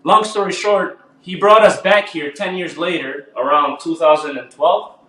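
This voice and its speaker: A man's voice: fairly high pitch, normal speed, fairly bright tone, echoey room, poor audio. A man in his twenties from Albania, telling his life story in a laid-back podcast chat. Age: 20s. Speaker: guest artist.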